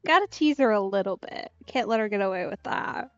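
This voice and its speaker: A woman's voice: mid-range voice, animated, brisk, no room sound, highs cut off. A young woman casually commenting while playing a video game, chatty and giggly. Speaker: game streamer. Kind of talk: casual let's play commentary. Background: game music.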